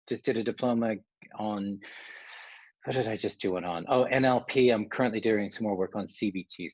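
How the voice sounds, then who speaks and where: A man's voice: fairly low pitch, slightly rough, no echo, lo-fi sound. A Canadian man, a coach, giving an online workshop presentation in a conversational way, with restarts and asides.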